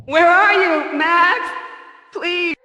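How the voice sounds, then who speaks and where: A desperate phantom woman calls out very slowly in an extremely high, sharp voice. She pleads through long echo, like a video game nightmare. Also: exaggerated voice. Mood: desperate pleading. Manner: plaintive, pleading. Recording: long echoing reverb, faint noise.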